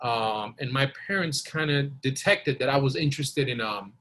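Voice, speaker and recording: A man's voice: mid-range voice, moderate pace, fairly bright tone. A man from Costa Rica, a native Spanish speaker, chatting informally and telling anecdotes as a podcast guest. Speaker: podcast guest, blues guitarist. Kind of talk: casual storytelling in an interview.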